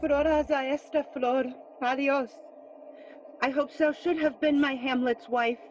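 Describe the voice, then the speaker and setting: A slightly muffled recording with faint music carries a high-voiced, sorrowful queen. She speaks slowly and tenderly in Spanish and English, a pleading mother in a Shakespeare audio play. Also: fairly even intonation. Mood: tender mourning. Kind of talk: bilingual Shakespeare audio drama acting.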